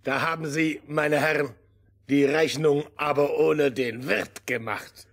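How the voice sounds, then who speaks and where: Ein Schauspieler spielt in einem Märchenhörspiel einen eitlen, hinterlistigen alten König, pompös und theatralisch. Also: male voice, fairly high pitch, fairly even intonation, unhurried, fairly bright tone. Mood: gloating. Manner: pompous, theatrical. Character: vain, scheming old fairy-tale king, mocking then whining. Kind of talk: radio play fairy tale acting.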